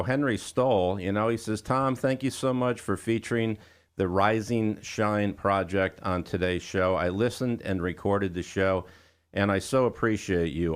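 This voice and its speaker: A man's voice: lowish voice, smooth. A man hosting a music radio show, chatting informally with listeners and guests with a showman's patter. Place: radio studio.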